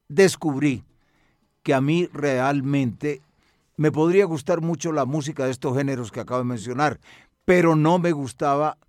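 An older man with a Colombian accent gives reflective interview answers. He speaks in a measured, articulate way with formal wording, at a middling pitch. The sound is dry, with hardly any room in it. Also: a little mellow, dry recording. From Medellín, Colombia. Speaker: cultural radio broadcaster and music researcher.

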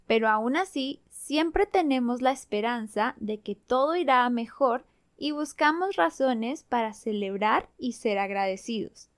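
Young Colombian woman, slightly high voice, clear and measured podcast host narrating cheerfully. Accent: Colombian, Bogotá. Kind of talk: scripted Spanish-learning podcast narration.

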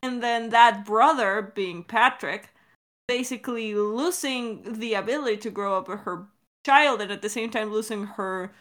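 A woman with a fairly high, bright and forceful voice talks casually about creative work. She is effusive but halting, circling toward her point and swearing freely along the way. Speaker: podcast creator answering questions. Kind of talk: casual behind-the-scenes discussion.

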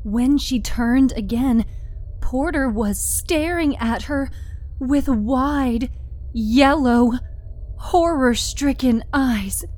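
Woman storyteller, higher pitched, very slow, deliberate, suspenseful horror fiction narration. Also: a little mellow.

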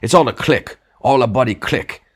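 Man voicing a blustering, indignant working-class ghost, raised pitch. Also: fast talker, fairly bright tone, slightly rough. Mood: bitter, defiant. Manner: blustering, argumentative, punctuating with demands. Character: big sulky, indignant, working-class male ghost, self-righteous grumbler. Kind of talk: literary audiobook character dialogue.

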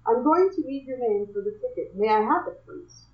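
A woman's voice: on the high side, sing-song, normal speed. A woman voicing a poised, proud and elegant young woman customer. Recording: muffled, echoey room.

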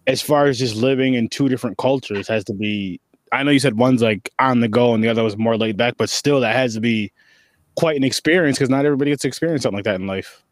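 A man interviewing a guest in a casual, enthusiastic way, with quick, stumbling reactions. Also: medium pitch, dry recording.

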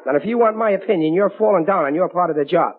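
A man's voice: high-pitched, fast talker. An actor playing a bumbling, stubborn working-class American father in a blustering, ungrammatical way, in old radio sitcom style. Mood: defensive bluster. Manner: blustering, ungrammatical everyman talk. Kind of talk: 1940s radio sitcom acting. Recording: muffled old radio broadcast.

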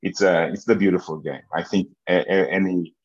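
A middle-aged man with a Portuguese accent, recalling things in an interview in halting English, repeating words as he searches for phrasing. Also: deep voice, steady tone, slightly rough. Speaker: interview guest, football business executive. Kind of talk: conversational interview answers, personal recollection. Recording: echoey room, dull lo-fi sound.

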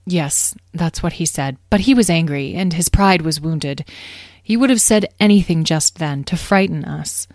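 A weary but resolute mother dragon from a storybook, read by a woman. Her voice is a natural, slightly low female one with a close, dry sound, measured and serious yet caring. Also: darkish tone, no echo. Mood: grave, reasoning.

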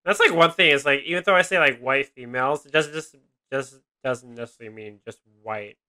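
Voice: mid-range voice, fairly quick, sharp tone. A host in casual conversation who reacts often, laughing and swearing freely.

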